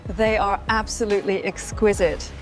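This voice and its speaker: A woman's voice: mid-range voice, fairly even intonation, moderate pace, soft background music, close to an everyday voice. A woman playing an appreciative figure in scripted factory documentary dialogue.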